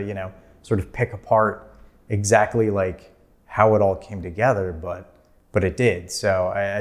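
Low-voiced man in his thirties, rambling. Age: 30s. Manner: rambling, many "like" fillers, laughs easily. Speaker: filmmaker guest. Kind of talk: casual interview storytelling.

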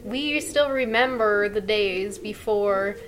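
A woman in her thirties talks in a casual, earnest way. She sounds upbeat and keeps slipping "like" into her sentences as she co-hosts a relaxed conversation. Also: medium pitch, slow. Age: 30s. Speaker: podcast co-host. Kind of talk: casual chat.

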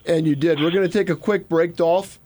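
A man's voice: a little high, fairly even intonation, fairly quick, background noise. A man hosting a radio interview show, upbeat and repeating his questions as he steers the talk. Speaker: radio host.

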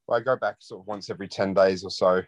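A man's voice: low voice, fairly even intonation, quick pace, no room sound. A laid-back man from London chatting casually as an interview guest. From London, United Kingdom. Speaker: interview guest, rock bassist. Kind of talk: casual chat.

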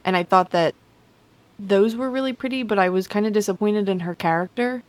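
A young woman, a podcast guest, a little hoarse, chatting casually with many fillers. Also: medium pitch, fairly quick, a little mellow, no echo.

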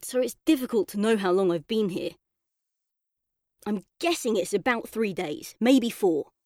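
A woman reading a children's audiobook as a chatty, earnest young boy telling his own story, expressive and colloquial. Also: medium pitch, a little slow, no room sound, clean studio sound, lightly acted. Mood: puzzled, sleepy. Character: boy narrator in a coma, chatty, frustrated, yearning. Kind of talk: first-person children's audiobook narration.

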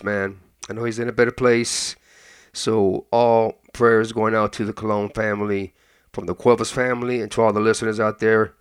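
A man with a hoarse voice introduces his mix show in an easygoing, chatty way and often pauses on ums and uhs. His voice sits on the lower side, and it stays smooth and resonant under the hoarseness. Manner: relaxed and conversational, with many ums and uhs. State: hoarse, recovering from illness. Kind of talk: casual radio-style mix show talk.

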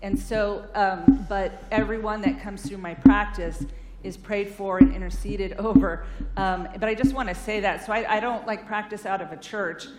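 Chatty woman speaking in an echoey hall. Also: moderate pace. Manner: chatty, earnest, many fillers. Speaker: guest speaker at a church, counselor. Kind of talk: informal sermon-style talk. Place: large hall in a church. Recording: echoey hall, faint rumble.

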